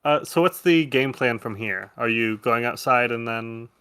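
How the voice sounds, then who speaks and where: A man's voice: mid-range voice, moderate pace, fairly bright tone, clean tone, no echo, studio quality. A man narrating a tabletop roleplaying game as game master, improvising in a loose, casual way.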